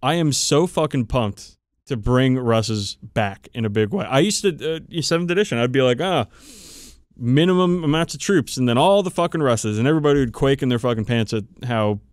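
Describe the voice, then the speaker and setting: A man's voice: medium pitch, lively intonation, moderate pace, dry recording, clean studio sound. A man co-hosting a podcast, chatting casually about his hobby in an opinionated, emphatic way with plenty of swearing. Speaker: podcast co-host. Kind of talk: casual chat about tabletop wargaming.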